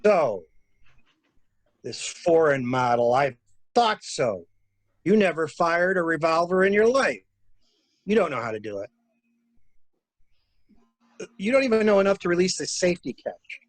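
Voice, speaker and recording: A man plays a sharp, sardonic male traveler in a murder mystery. His voice is rough-edged and very animated in pitch as he probes and pushes like an amateur detective. The delivery is theatrical and the sound is close and dry. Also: a little slow, long pauses, no room sound. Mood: goading. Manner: probing, insistent, theatrical. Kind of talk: dramatic reading of a murder mystery.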